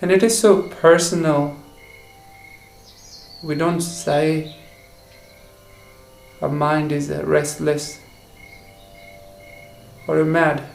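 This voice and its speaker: A man guiding a meditation for relaxation and sleep, speaking in short, halting phrases. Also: on the high side, flat delivery, creaky. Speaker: meditation guide. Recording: roomy echo with faint insect noise.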